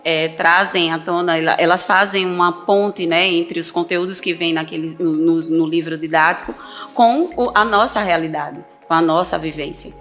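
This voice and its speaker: A woman school coordinator speaking as a podcast guest, explaining calmly with some halting repetitions. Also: fairly low pitch, soft background music. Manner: calm, halting, repeats words as she explains.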